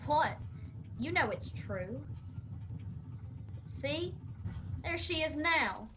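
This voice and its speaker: Actress as feisty, defiant young mountain woman, radio drama; Appalachian accent, high forceful voice, unhurried. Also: lively intonation, soft background music, full character voice, low-quality recording. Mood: scornful. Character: sharp-tongued, defiant young backwoods sister.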